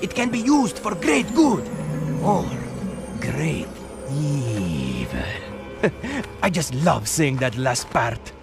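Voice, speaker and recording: An actor voicing an irritable, sarcastic talking bird in a video game, swinging between pompous mystical pronouncements and snappy complaints. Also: male voice, medium pitch, huge pitch swings, slow pace, vocal fry, over music, exaggerated voice. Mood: pompous, mocking. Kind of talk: animated video game cutscene acting.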